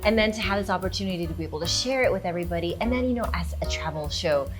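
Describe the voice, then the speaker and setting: A talk show guest from Houston tells her own story with plenty of laughter. She moves freely between a low, easy tone and bright bursts. Faint music sits under her voice. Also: racing. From Houston, Texas. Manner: animated, laughs often, jumps into high mock voices when quoting herself. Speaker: guest, travel show host.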